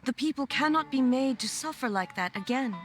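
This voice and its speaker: An actress playing a solemn, regal ruler in a video game, speaking with formal, stately gravity. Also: female voice, high voice, animated, brisk, soft background music. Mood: grave, resolute.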